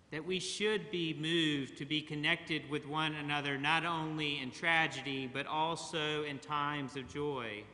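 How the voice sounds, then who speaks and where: Middle-aged male pastor, measured sermon, clear bright tone, long church reverb. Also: a little high. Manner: slow, measured preaching with long pauses. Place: large church sanctuary, at a pulpit. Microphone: pulpit mic, far camera.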